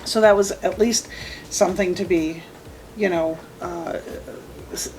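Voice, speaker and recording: A middle-aged woman casually telling a personal story, animated and emphatic, often repeating her phrases. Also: on the low side, unhurried, slight background noise, poor audio. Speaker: storytelling guest, mother of the host.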